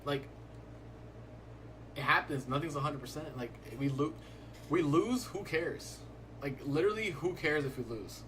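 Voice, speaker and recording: A man's voice: fairly high pitch, lively intonation, measured pace, pauses often, a bit bright, a little hiss or hum, low-quality recording. A young man hosting a live stream, chatting casually in a laid-back, rambling way and filling his talk with "like". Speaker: livestreaming day trader.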